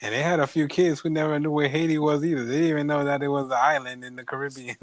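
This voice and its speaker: A man's voice: fairly high pitch, steady tone, fast, dry recording. A young man co-hosting a podcast, speaking in an easygoing way. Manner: easygoing, laughs between remarks. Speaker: podcast co-host. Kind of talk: casual chat.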